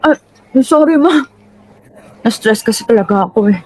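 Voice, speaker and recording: A woman's voice: a little high, animated, normal speed, a little mellow, slightly rough. A woman playing a dramatic, exasperated young adult daughter with a Filipino accent, emphatic and slangy, in a radio drama. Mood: stressed, annoyed.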